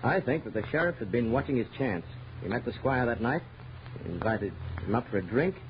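A man's voice: mid-range voice, expressive, a little fast, close to an everyday voice. Emphatic detective in an old noisy radio drama, acted by a man. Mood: insistent, grim. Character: stubborn police detective airing a bold theory. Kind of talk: 1930s radio acting. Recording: noisy, dull 1930s radio recording.

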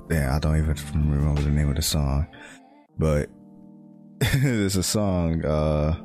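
Young man, very low voice, flat delivery, halting and reflective, steady guitar music underneath. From United States. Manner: halting, many "like" fillers, reflective. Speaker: speaker sharing personal reflections. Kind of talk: casual monologue.

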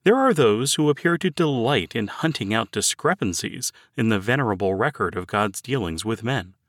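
A man narrating a written devotional sermon in a measured, earnest, formal oratorical manner. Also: fairly low pitch, lively intonation, no echo, studio quality. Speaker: audiobook narrator reading a sermon. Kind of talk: scripted devotional sermon reading.

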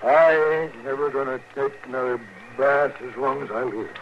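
Through a muffled, noisy old radio recording comes a man acting a sullen cowboy outlaw. His mid-pitched voice has a rural Western American drawl, folksy and distrustful, in the manner of 1950s radio westerns. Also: moderate pace, natural voice. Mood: sullen, puzzled. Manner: drawling, uneducated folksy speech. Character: sullen, distrustful cowboy cattle rustler under arrest.

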